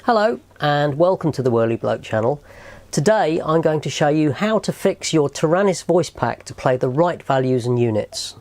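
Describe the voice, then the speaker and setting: A man's voice: on the high side, lively intonation, moderate pace, a little mellow, a little hiss or hum. A man presenting a how-to tutorial in a methodical, explanatory way. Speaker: host of a tutorial channel.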